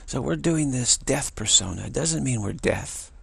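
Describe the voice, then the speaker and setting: A man with a deep voice giving a rambling spiritual monologue, often repeating words and chuckling. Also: expressive, moderate pace, creaky, fairly bright tone, slightly rough, dry recording.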